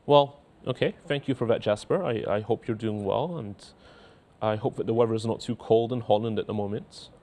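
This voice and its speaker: A man in his thirties with a faint Scottish accent, a cleric in a TV studio reading out and answering viewer letters in a measured, earnest way. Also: fairly low pitch, dark tone. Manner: measured, earnest, repeats words when starting sentences. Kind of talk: studio talk show, reading letters aloud. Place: indoor TV studio.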